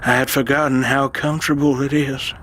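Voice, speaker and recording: A man acting a frail, devout old uncle in an audio drama, solemn and deliberate but fond and commanding. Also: mid-range voice, little pitch movement, fairly bright tone, a little hiss or hum, natural voice. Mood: weary, fond.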